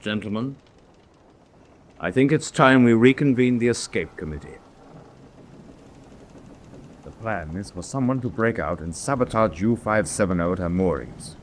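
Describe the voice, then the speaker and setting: Over clearly noisy ambience comes a stern submarine officer, played by a man in a radio drama. His voice sits fairly low and swings widely in pitch. He is proud and unforgiving, speaking in a clipped, emphatic way. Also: animated, normal speed, long pauses, lightly acted. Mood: brisk, commanding. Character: proud, hard-bitten German U-boat officer, stern and unforgiving. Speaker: actor.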